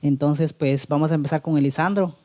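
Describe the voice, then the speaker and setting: A man's voice: on the high side, fairly even intonation, fairly quick, warm tone. A Guatemalan man hosting a casual interview, speaking in a friendly, reassuring way with lots of tag questions. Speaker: podcast host.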